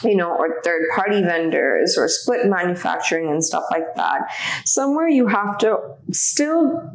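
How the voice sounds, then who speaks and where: A woman in her thirties whose first language is Indonesian speaks as an interview guest, seated at a table in a studio room. Her voice sits low and carries a gravelly fry. She talks in a relaxed, reflective way, with plenty of fillers. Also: a little slow, poor audio. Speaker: guest, handbag designer. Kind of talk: casual interview chat.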